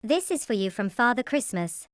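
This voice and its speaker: A woman's voice: a little high, big pitch swings, normal speed, slight background noise. A woman playing a polite, cheerful, youthful neighbour girl in an audio drama. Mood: friendly, polite. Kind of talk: fan audio drama acting.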